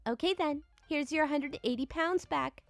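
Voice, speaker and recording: A woman's voice: high-pitched, a little slow, gravelly, dry recording, faint music behind, voice pushed up. A woman voicing a petty, penny-pinching woman who haggles dismissively, in a falsely sweet tone. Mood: breezy, dismissive.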